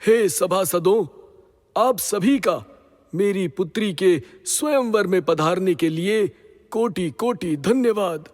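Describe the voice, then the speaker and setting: Male actor as regal fatherly king, high voice, unhurried, gracious, declamatory, mythological radio play, long reverb. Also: expressive, darkish tone. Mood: ceremonious, proud. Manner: formal, ceremonious, declamatory. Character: stately serpent king and gracious, grateful father. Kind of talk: Hindi mythological audio drama acting. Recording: long reverberant hall echo.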